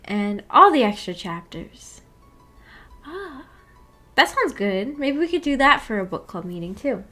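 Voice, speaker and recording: A woman with a fairly high voice hosts a casual livestream. She rambles in a giggly, self-deprecating way as she reads and answers comments from viewers. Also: very animated, measured pace, bright, forceful tone, faint music behind. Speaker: livestream host of a visual novel book club.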